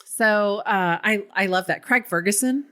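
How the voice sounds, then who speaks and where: A woman with an Ohio accent chats casually as a podcast co-host. Her talk is blunt and salty, broken up by easy laughter, with a mid-range pitch. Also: a little slow, bright, forceful tone. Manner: blunt, profane, laughing often. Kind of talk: casual chat.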